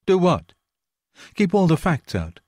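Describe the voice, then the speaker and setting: A curious amateur woman detective, as a male reader puts her on, earnest and questioning. She sits higher than the narrator's own voice, in a dry, close recording. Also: wildly swooping pitch, unhurried, darkish tone, no echo, full character voice. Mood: indignant.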